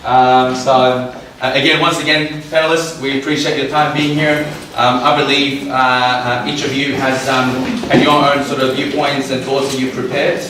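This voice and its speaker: A man's voice: mid-range voice, flat delivery, moderate pace, noisy background. A man moderating a panel forum, speaking hesitantly with many ums and uhs. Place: community forum venue. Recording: very echoey hall, mic at a distance.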